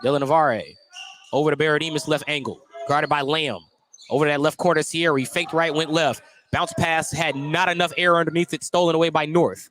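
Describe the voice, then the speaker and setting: A man's voice: on the high side, steady tone, a little fast, fairly bright tone, a little hiss or hum. An American man doing live radio play-by-play commentary of a basketball game as the announcer.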